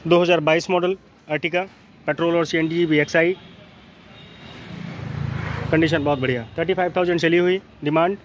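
A man with a North Indian accent presenting used cars in a matter-of-fact walkaround, listing details in short phrases. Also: on the high side. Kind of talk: spoken walkaround sales presentation. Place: outdoor car lot, beside and inside cars. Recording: noisy outdoor street ambience.

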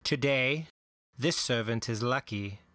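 A man narrating a vlog in a dry, deadpan, mock-solemn voice-over. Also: medium pitch, slow, no room sound.

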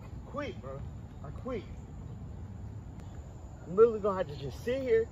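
A man's voice: high voice, big pitch swings, moderate pace, dark tone, dull audio. A young man playing a bewildered orphan stranded on a magical island, incredulous and questioning, in colloquial amateur short-film acting. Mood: frustrated. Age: young adult. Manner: incredulous, questioning, repeats himself. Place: outdoors by a lake and woods. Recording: noisy outdoor location sound.

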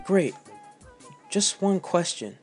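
A man's voice: sits high, sing-song, takes it slowly, breathy edge, soft background music, full character voice. A man playing a matter-of-fact, knowing member of an adventuring team in an amateur comedy radio drama.

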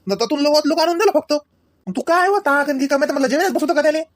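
A man's voice: very high-pitched, very fast, dry recording. Stingy, grumbling Varhadi son acted by a man. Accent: Varhadi Marathi. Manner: complaining, sarcastic. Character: stingy, grumbling grown son in a village household. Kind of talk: comedy cartoon voice acting.

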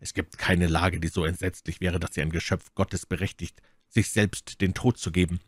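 A man reading aloud, voicing an earnest, devout young officer who speaks in a hushed, grave manner. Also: low-pitched, little pitch movement, moderate pace, no room sound, studio quality. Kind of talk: audiobook character reading.

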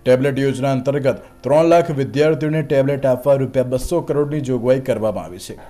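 A man's voice: medium pitch, fast talker, mellow. A man reading scripted news narration as a voice-over. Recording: music bed under voice-over. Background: music.

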